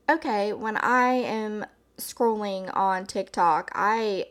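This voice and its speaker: A woman hosting a solo podcast in a casual, reflective, rambling way, with a slightly hoarse just-woke-up voice. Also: medium pitch, a bit bright. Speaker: podcast host.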